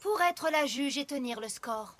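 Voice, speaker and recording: High, lively cartoon farm pony. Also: female voice, unhurried. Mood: matter-of-fact. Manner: folksy, hearty, teasing. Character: hearty, confident female farm pony, playfully competitive. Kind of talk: animated cartoon dub acting.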